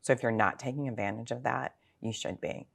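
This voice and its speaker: Low, gravelly-voiced sixty-year-old woman planner. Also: fairly even intonation, brisk. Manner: informal, explains with examples and rhetorical questions. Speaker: financial planner being interviewed. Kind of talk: conversational interview answers.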